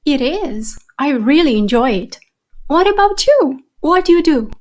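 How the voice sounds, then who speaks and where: A woman playing a polite new neighbor, high voice. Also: sing-song, a little slow, a little mellow. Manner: polite, friendly. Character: polite woman newly moved in, works in IT. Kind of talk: scripted conversation for listening practice.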